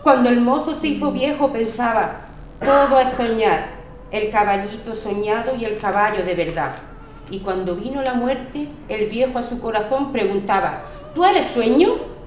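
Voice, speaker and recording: A woman from Spain reading poems aloud, animated and storytelling, voicing the quoted lines. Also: fairly high pitch, normal speed, echoey room, soft background music. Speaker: poetry reciter at a community reading. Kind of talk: expressive poetry recitation.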